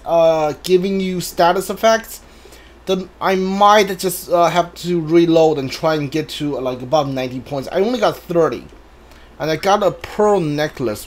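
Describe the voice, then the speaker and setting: A man giving casual live playthrough commentary, rambling hesitantly as he thinks aloud. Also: sits high. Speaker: game streamer. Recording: faint background noise from game ambience. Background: video game ambience.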